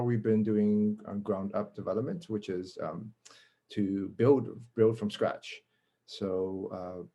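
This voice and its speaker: A man from Canada gives a casual presentation as a guest speaker over a video call, friendly and halting with many fillers. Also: lowish voice, warm tone.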